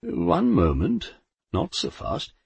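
A man's voice: mid-range voice, huge pitch swings, slow pace, creaky, darkish tone, slightly rough, dry recording, exaggerated voice. A man voicing Death as a nervous, fussy, apologetic clerk of a figure in an audiobook. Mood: flustered. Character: nervous, lightly built male Death with clipboard.

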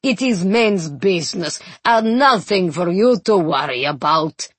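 A woman voicing a big, gruff, tipsy gambling captain in an audiobook, with a low, blustering, dragging delivery. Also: expressive, a little slow, no echo. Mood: dismissive. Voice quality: deep bass, gruff. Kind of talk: audiobook character acting.

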